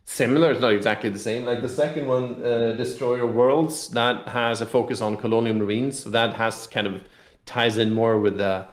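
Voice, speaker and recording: A man's voice: mid-range voice, fairly even intonation, normal speed. A man in a casual interview explaining a tabletop game in a rambling, loosely hedged way. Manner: rambling, explanatory, hedging with "kind of". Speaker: game publisher representative being interviewed. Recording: roomy, echoey sound.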